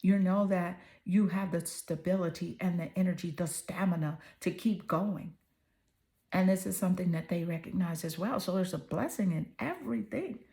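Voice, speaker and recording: Hoarse woman giving a tarot reading. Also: fairly low pitch, normal speed, warm tone. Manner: soothing, affirming, repeats "right?". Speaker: tarot reader.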